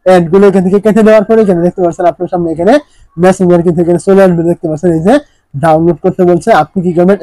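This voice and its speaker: A man's voice: high-pitched, dark tone, no echo, poor audio. A man narrating a step-by-step phone tutorial, instructing repetitively and often posing questions before answering them. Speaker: tech tutorial presenter.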